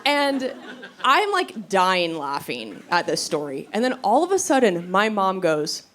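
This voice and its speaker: A woman performing stand-up comedy, telling stories in an animated, conversational way and acting out quotes. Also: medium pitch, a little slow. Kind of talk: stand-up comedy storytelling to a live audience. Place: live comedy venue on stage. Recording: live crowd laughter, noisy stage recording. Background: audience laughter.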